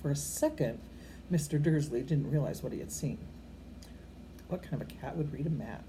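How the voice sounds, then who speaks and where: A woman's voice: rumbling low, moderate pace. An older woman reading a storybook aloud to children like a grandmother, expressively and with a homely, unpolished delivery. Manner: expressive, occasionally stumbling over words and correcting herself. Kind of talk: read-aloud storytelling with informal asides to children. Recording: amateur recording with faint background noise.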